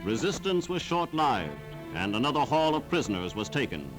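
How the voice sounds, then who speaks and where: A man's voice: medium pitch, big pitch swings, normal speed, over music. A man narrating a 1940s wartime newsreel in a formal, grandiose, declamatory style. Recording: noisy old 1940s newsreel soundtrack.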